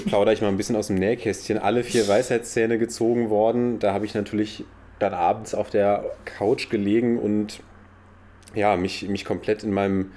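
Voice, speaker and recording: Low, flat-voiced man chatting football. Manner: chatty, rambling with restarts. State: slight cold. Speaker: podcast co-host. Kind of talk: casual football chat. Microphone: own podcast microphone.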